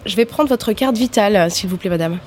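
A woman's voice: medium pitch, a little fast, darkish tone, faint music behind. A woman health worker talking a patient through paperwork in a polite, procedural way. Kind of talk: everyday exchange with a patient.

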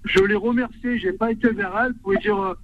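Gravelly man on phone. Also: very high-pitched, sing-song, fairly quick, a crowd in the background. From Provence, France. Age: 50s. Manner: hesitant, many fillers, reflective. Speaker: caller. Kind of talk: radio call-in confession. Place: in a car. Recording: tinny phone line with faint noise.

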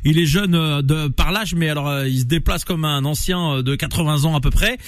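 A man hosting a morning radio show, jokey and hesitant, filling pauses with many fillers. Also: a little high, no echo, clean studio sound. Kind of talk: casual radio interview.

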